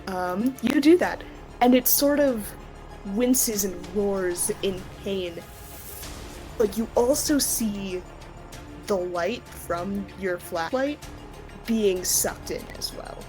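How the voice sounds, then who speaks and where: A woman runs a tabletop game as game master. Her pitch is average and she narrates slowly, in halting, deliberate phrases. Light music plays behind her. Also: darkish tone, low-quality recording.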